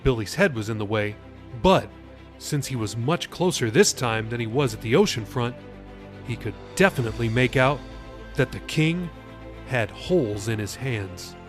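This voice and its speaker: A man's voice: expressive, normal speed, faint music behind. Man narrating his own fantasy novel. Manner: dramatic, shifting pace and pitch for action. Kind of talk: audiobook narration.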